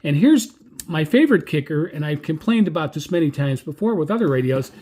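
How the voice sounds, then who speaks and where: A man's voice: on the high side, lively intonation, a little fast, dark tone, breathy edge. A man giving a casual, hands-on radio review in a rambling, conversational way.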